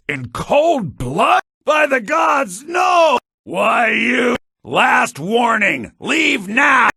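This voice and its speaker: A man playing a sly, grasping shopkeeper in a fantasy video game, with a raspy, theatrical delivery, in low-bitrate compressed audio. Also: sharp tone, dry recording, studio quality, full character voice. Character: sly fantasy male shopkeeper, grasping, quick to yell at thieves. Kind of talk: video game character barks.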